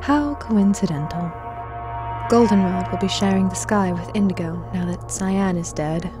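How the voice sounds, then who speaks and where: A woman playing a composed, coolly polite figure in an audio drama, speaking in a measured way. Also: medium pitch, over music, natural voice. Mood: musing.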